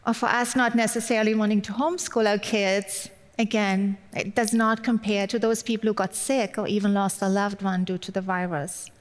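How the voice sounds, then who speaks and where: A woman's voice: medium pitch, little pitch movement, normal speed, hardly any pauses. A woman in her forties from Germany with a German accent, giving a chatty, encouraging Mother's Day sermon as a guest speaker. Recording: long hall reverb.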